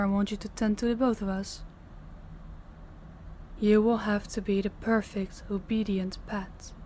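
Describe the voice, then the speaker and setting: A woman's voice: medium pitch, a little mellow, slight background noise, close to an everyday voice. A woman playing a dominant mafia boss in an audio roleplay, stern and condescending, giving orders and patronizing praise. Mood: commanding. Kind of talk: audio roleplay addressed to the listener.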